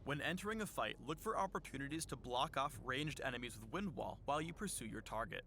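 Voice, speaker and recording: A man reading a scripted instructional guide for a video game in a measured, polished explainer style. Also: medium pitch, animated, gravelly, pushed and bright, slight background noise. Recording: music behind the voice. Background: music.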